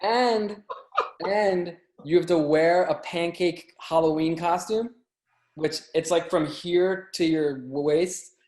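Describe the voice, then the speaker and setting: A man chatting casually as a podcast guest, telling anecdotes in a halting way, often repeating and stumbling over words. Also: fairly high pitch, darkish tone. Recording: roomy, echoey sound.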